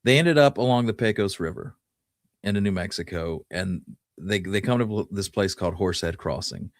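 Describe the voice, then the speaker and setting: Man, lower-pitched voice, casual storyteller, folksy, conversational, dry close recording. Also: normal speed, no room sound. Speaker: podcast host telling history. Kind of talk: casual storytelling, Wild West history talk.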